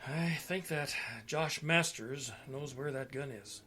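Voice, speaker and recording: A man's voice: on the high side, slow pace, bright, forceful tone. A man playing a folksy, blustery, cagey police detective in an old-time style radio play. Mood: folksy, guarded.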